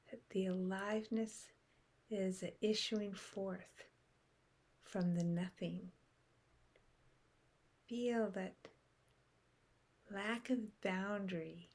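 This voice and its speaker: A woman guiding a meditation in a soft, unhurried way with long pauses, her breathy voice often trailing off into a whisper. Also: medium pitch. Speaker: meditation guide. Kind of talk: guided meditation.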